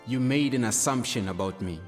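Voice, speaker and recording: Actor as a calm devout man, South African accent, medium pitch, long reverb, sincere, radio drama. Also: brisk, lightly acted. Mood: sincere, firm. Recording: long reverb, faint music behind.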